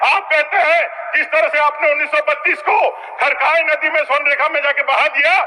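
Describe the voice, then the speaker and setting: A male opposition legislator speaks in a reverberant chamber, his voice very high and piercingly sharp. He delivers a forceful, accusatory political speech built on pointed rhetorical questions. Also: steady tone, brisk. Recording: reverberant assembly hall sound system.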